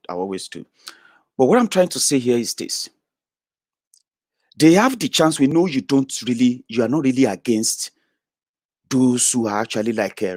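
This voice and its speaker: A man in his thirties delivers a blunt, profane rant straight to his audience. He swings between loud outbursts and low, muttered asides. The recording is close and dry, with no room sound. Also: dry recording. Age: 30s. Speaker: online political commentator. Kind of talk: ranting monologue to followers.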